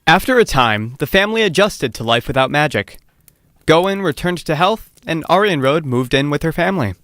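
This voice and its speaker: A man's voice: a little high, expressive, brisk, fairly bright tone, no echo, clean studio sound. A man playing a travelling storyteller, narrating a fantasy legend in a theatrical scripted style. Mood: storytelling.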